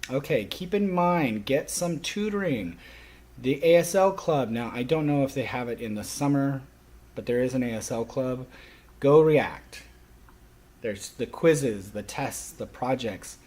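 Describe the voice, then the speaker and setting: A man giving a spoken course orientation for a class, in halting stop-and-start phrases with stressed openings. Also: mid-range voice, a little mellow, husky.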